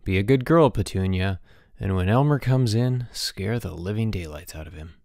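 A man's voice: fairly low pitch, normal speed, vocal fry, a little mellow. A man voicing a cool, mischievous schoolboy with a mock-grave, unruffled way in a storybook reading. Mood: cheerful, sly.

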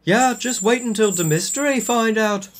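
An excitable teenage boy wizard acted by a man, pitched up and whiny. The delivery is emphatic, like a comic storybook character. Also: measured pace. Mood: defiant.

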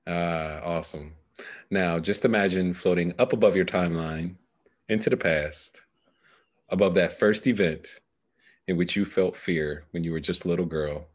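A man with a low voice speaks as a coach. His delivery is measured and instructive, as in a guided meditation, recorded on a headset during a video call. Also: steady tone. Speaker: coach guiding a client through a meditation process. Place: small indoor room, video call. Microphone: headset microphone.

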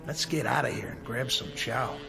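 An actor playing a cheerful, wisecracking working man with a gravelly voice. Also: medium pitch, moderate pace, music in the background. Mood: jokey.

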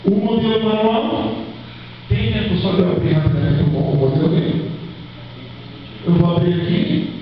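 A Brazilian man giving a conference talk while demonstrating software, chatting with the audience and tossing them rhetorical questions. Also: sits high, expressive, moderate pace, long pauses. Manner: conversational, keeps asking the audience rhetorical questions. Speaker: conference speaker. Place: large lecture hall indoors. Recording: echoey hall, distant dull microphone, faint hiss.

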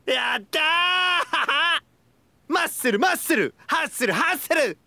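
A man playing a hyperactive, cheerful, childlike young goofball in an anime mobile game, with a bright boyish voice and an exuberant manner. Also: extremely high pitch, lively intonation, takes it slowly, vocal fry, no room sound, exaggerated voice. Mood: wildly excited. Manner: exuberant, bursting into shouts and laughs.

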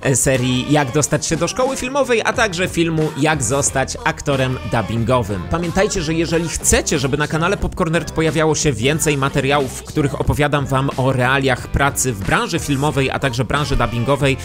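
Higher-voiced man hosting a vlog. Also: lively intonation, brisk. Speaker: YouTube host. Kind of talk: scripted vlog presentation to camera. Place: small decorated home studio. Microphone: close studio microphone. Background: faint music.

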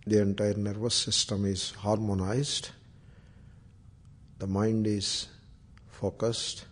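Low-pitched man, very even intonation, Indian accent, spiritual teacher, deliberate guided meditation delivery. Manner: slow, deliberate, long pauses between short phrases. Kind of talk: guided meditation with Sanskrit chanting.

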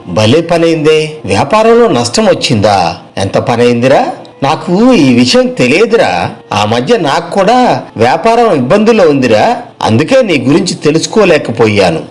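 A man's voice: medium pitch, a little mellow, close to an everyday voice. Man acting a boastful, two-faced rich friend. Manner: effusive, familiar, with theatrical lamenting. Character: boastful, two-faced wealthy businessman friend, feigning sympathy. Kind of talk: moral story voice acting.

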